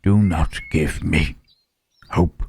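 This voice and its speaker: A man voicing a gentle, self-loathing Frankenstein's monster in an ASMR roleplay, speaking in halting, formal phrases with a husky, rough voice. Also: a bass voice, a little hiss or hum, full character voice. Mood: pained, confused. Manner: halting, formal phrasing with searching tag questions. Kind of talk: slow ASMR roleplay monologue.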